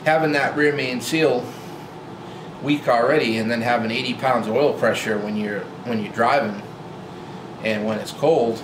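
A man in his forties talking casually to camera about his old truck, relaxed and rambling with lots of fillers and restarts, filmed in a garage. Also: mid-range voice, normal speed. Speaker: vlogger. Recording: roomy garage with loud hum.

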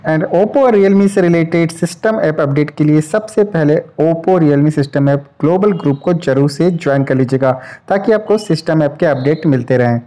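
A man hosts a tech channel in a somewhat high, soft-edged voice. He explains phone features in a chatty, repetitive manner, dropping English tech terms into his talk. The tone stays mellow rather than sharp. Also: normal speed, hardly any pauses. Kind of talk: phone software review voice-over.

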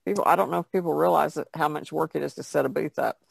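A woman's voice: deep voice, quick pace, vocal fry, slightly rough, dry recording. A woman artist talking casually as part of a podcast panel. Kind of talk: casual chat.

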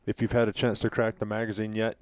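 A man's voice: on the low side, completely flat delivery, quick pace, a little mellow, clean tone, no echo. A middle-aged man co-hosting a casual podcast discussion, speaking into a headset mic. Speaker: podcast co-host. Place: small wood-panelled room, around a table.

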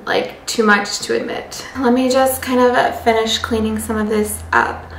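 Chatty young woman with a gravelly edge. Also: fairly high pitch, fairly even intonation, measured pace, roomy sound, recorded from a distance, a little hiss or hum. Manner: chatty, loose, many "like" fillers. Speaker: vlogger. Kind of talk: casual chat to camera. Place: home living room, indoors.

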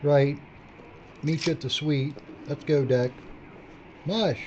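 A man's voice: on the high side, steady tone, slow, a little mellow. An actor playing a wry, unflappable cameraman in an audio drama. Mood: dry. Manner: wry, laid-back. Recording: dull, noisy audio drama recording.

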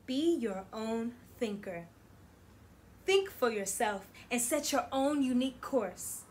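A young woman teacher reading a picture book aloud to children in an animated, deliberate, encouraging way. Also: a little high, slightly rough. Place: small indoor room against plain backdrop.

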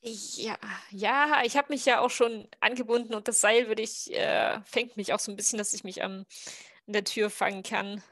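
A woman takes part in an online role-playing game. Her voice is fairly high, her delivery casual and improvised, and the headset sound is dry. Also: fairly even intonation, moderate pace, a bit bright, dry recording. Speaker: tabletop role-play player. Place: indoor room, video call.

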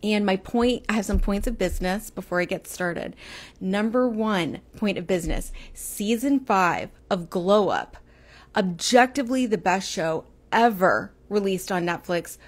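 A woman in her forties with a Texas accent chats loosely on her livestream. Her vowels sometimes slide toward the Midwest, and she wanders between topics with quick asides to viewers. She talks into an earphone headset mic in a small room. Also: mid-range voice, expressive. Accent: Texas, with Midwestern turns. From Texas, United States. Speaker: livestream host. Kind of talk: casual livestream chat. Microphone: earphone headset mic, phone camera close.

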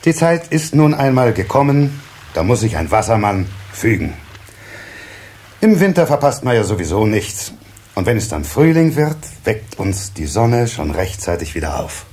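Water sprite father, low voice, animated, kindly, patient, children's cassette audio drama. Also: moderate pace, breathy edge. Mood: gently instructive. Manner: fatherly, gently amused. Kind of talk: radio play acting for children. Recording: cassette recording with faint noise.

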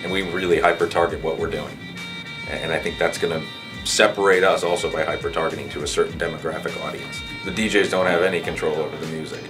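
Male radio programmer, very low and quick, explanatory interview over music. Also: fairly even intonation. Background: guitar music.

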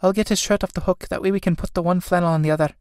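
A woman's voice: on the low side, steady tone, very fast, a little mellow, no echo, clean studio sound, pitched above the performer's own voice, natural voice. A woman playing an anxious, scolding young Irish elder sister in a stage drama, speaking in an earnest, urgent way. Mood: dread.

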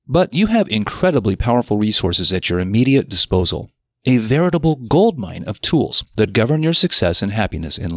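A man's voice: medium pitch, no room sound, clean studio sound. A man reading a scripted self-help article as narrator, with an emphatic, instructive, carefully phrased delivery.

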